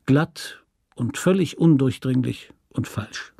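A man narrating a literary story from a script in a measured, sober manner. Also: medium pitch, creaky, dark tone, breathy edge, no echo, studio quality.

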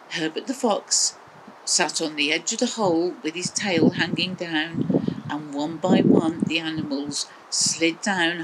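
A woman's voice: low voice, noisy background. An older woman with an English accent tells a children's story expressively in simple phrases. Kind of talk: children's storytelling, talking to small listeners. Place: outdoors in a small back garden.

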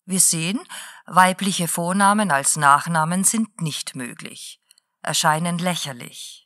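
A woman playing a late-night radio presenter who lectures in a slow, deliberate and didactic way. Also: on the low side, sharp tone, no room sound, studio quality. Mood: lecturing.

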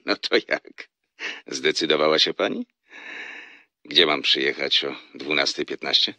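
An actor playing a courteous, amused divorced businessman in an audio drama, flirting gently in a very deep, gravelly voice. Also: measured pace, no room sound, heavily acted. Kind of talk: Polish audio drama dialogue.

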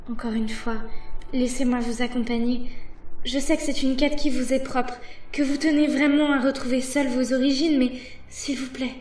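A woman's voice: sits high, steady tone, moderate pace, darkish tone, slight background noise, natural voice. A woman playing a devoted, anxious elf servant in a fantasy audio drama, earnest, deferential and pleading. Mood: worried, pleading. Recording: roomy echo, slightly distant microphone.

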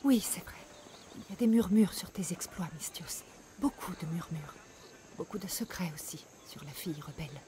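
An actress playing a fearful beggar woman in a video game dub, speaking in a hushed, conspiratorial half-whisper. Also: lowish voice, expressive, moderate pace, slight background noise, close to an everyday voice. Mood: secretive. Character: fearful, secretive beggar woman of ancient Greece. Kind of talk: dubbed video game dialogue.

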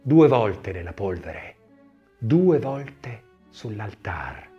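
Expressive male narrator with a lively pitch range. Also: drawn-out words, muffled recording, soft background music. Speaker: audiobook narrator. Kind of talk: scripted literary narration, expressive.

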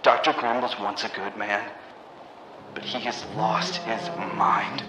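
An actor playing a defiant male scientist blowing the whistle, determined and measured. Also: fairly low pitch, fairly even intonation, echoey room, heavy background noise.

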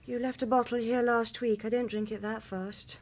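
An actress playing a teasing woman, a hitman's hospitable friend, with a husky voice in a radio drama. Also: a little high, level tone, moderate pace. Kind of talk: vintage radio drama acting.

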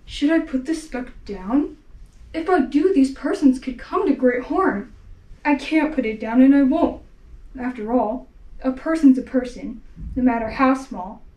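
Woman voicing a big kind elephant, earnest and firm, highish voice, echoey room. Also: expressive, a little slow, a little mellow, far from the mic. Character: kind, steadfast male elephant. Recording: roomy echo.

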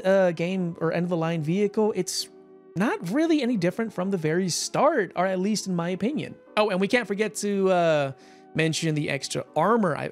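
Hoarse, chatty man with a higher voice. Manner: chatty, rambling, with frequent fillers and short laughs. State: hoarse, tired voice after a long stream. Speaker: gaming YouTuber. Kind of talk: casual gameplay commentary.

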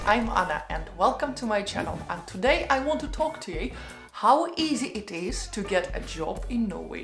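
Woman in her thirties, earnest advice vlog, lively hand gestures, roomy home echo. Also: medium pitch. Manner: earnest, animated, gesturing hands while talking. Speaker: channel host giving relocation advice. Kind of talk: direct-to-camera advice vlog. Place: living room indoors, lamp and sofa behind.

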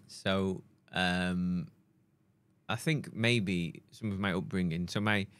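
A man's voice: sits low, fairly even intonation, takes it slowly, fairly bright tone, clear, resonant tone, dry recording. A man in casual podcast banter, telling a rambling story with hesitations. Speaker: podcast co-host.

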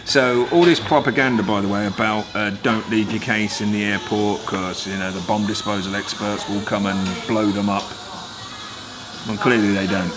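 A man's voice: fairly low pitch, fairly even intonation, moderate pace, music in the background. A British man in his forties, a vlogger talking casually to camera in an emphatic, wry, explanatory way. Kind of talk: casual vlog chat.

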